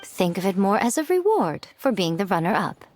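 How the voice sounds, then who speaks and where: A woman's voice: medium pitch, very animated, moderate pace, background noise, lightly acted. A woman playing a sly, teasing, elegant fox shrine lady in a video game, with a smug, poised manner.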